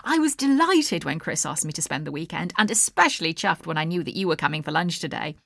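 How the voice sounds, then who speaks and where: A woman voicing a friendly young man, warm, enthusiastic and sociable, in an audiobook. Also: deep voice, lively intonation, fast, gravelly, dry recording, studio quality, full character voice. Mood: delighted.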